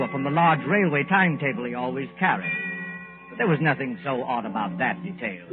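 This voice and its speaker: A man announcing and narrating a 1940s radio drama from a script, measured and dramatic. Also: a little high. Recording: tinny old radio broadcast.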